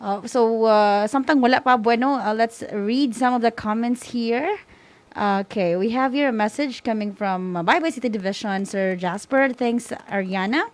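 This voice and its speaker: A woman's voice: fairly high pitch, normal speed. A woman with a Filipino accent co-hosting an online school broadcast in a conversational way. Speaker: co-host.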